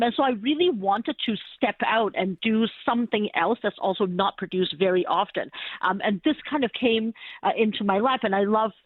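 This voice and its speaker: A woman's voice: mid-range voice, no room sound. A woman from Hong Kong, an opera artistic director, explaining earnestly in a radio interview and often saying "you know, like.". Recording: dry, tinny phone line.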